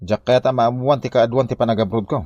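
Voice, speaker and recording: A man's voice: mid-range voice, fairly even intonation, fast talker, mellow, dry recording, highs cut off, natural voice. An actor playing a flustered, aggrieved village man in Ilocano comedy drama, with an Ilocano accent. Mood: exasperated.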